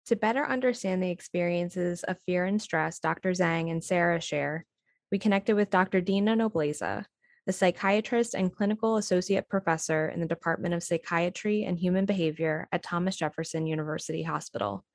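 A woman narrates from a script in documentary style. Her voice sits a little low, and the recording is very dry with no room sound. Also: a little fast, no echo.

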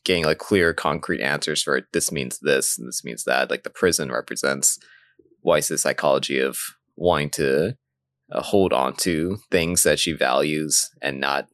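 A man's voice: rumbling low, normal speed, fairly bright tone, no echo. A man co-hosting a podcast in casual discussion, thinking aloud with some hesitation. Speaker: podcast co-host.

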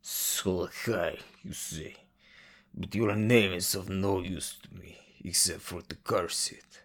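A man voicing a gaunt, half-crazed older prisoner in a fantasy radio drama, bitter and halting, with a weak, rasping voice. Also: low voice, fairly even intonation, fairly bright tone, full character voice. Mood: bitter hatred. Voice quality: weak, raspy, creaky. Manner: halting, drawn-out, defiant.

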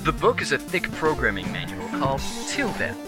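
A man's voice: mid-range voice, wildly swooping pitch, brisk. A man playing a wry, formal narrator in a radio play, telling the story with mock-serious drama. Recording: loud music behind the voice.

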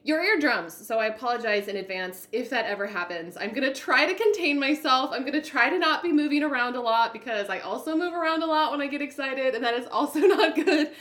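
A woman with a naturally loud voice hosting a podcast solo, talking in an upbeat, hyper and emphatic way. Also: sits high, lively intonation, fairly quick, hardly any pauses, reverberant. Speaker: podcast host, business coach.

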